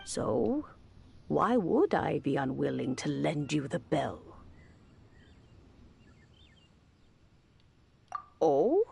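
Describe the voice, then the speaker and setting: A woman voicing a wise, kindly old granny in a video game, unhurried and gently chiding. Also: low voice, big pitch swings, gravelly, husky, exaggerated voice. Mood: playful. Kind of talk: video game dialogue acting.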